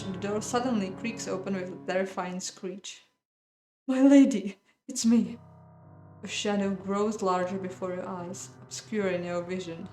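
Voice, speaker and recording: A woman hosting a game playthrough, reading a visual novel aloud in a measured dramatic way with casual, hesitant asides. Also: medium pitch. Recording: roomy echo, music behind.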